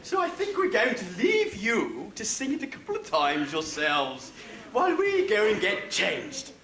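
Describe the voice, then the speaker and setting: A man plays an exuberant pantomime dame with a very high, bright voice that swoops wildly. The dame teases and sings out theatrically to a live audience in a roomy hall. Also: huge pitch swings, a little slow, full character voice. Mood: cheerful. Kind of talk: British pantomime stage banter and audience singalong. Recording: roomy stage recording, faint music and audience.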